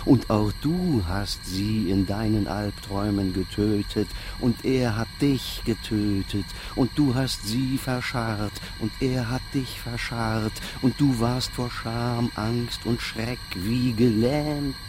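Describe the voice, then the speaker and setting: An actor playing evil incarnate in a German radio play, a taunting spirit who speaks in a solemn, insinuating, menacing way. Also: male voice, medium pitch, slow pace, hardly any pauses, background noise, natural voice. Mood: relentless, menacing.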